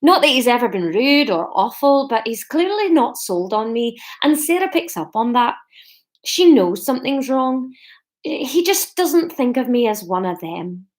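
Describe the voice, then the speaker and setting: A woman voicing an awkward, hesitant young fiancé in a story, with an attempted Scottish accent and a halting, defensive manner. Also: on the high side, sing-song. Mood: defensive, hurt. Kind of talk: audiobook-style character dialogue.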